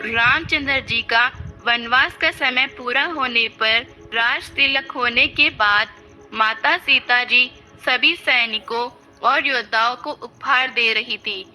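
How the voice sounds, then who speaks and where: A woman's voice: a little high, slow, bright, forceful tone, soft background music, poor audio. A woman narrating a scripted story as a storyteller.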